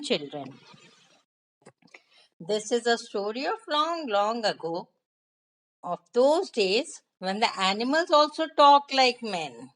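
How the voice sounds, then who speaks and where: Female kids' storyteller, Indian accent, higher pitch, slow deliberate delivery that quizzes the listeners. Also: fairly bright tone, rough recording. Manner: addresses listeners with questions, deliberate phrasing. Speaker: children's storyteller, host. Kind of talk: storytelling narration for young children.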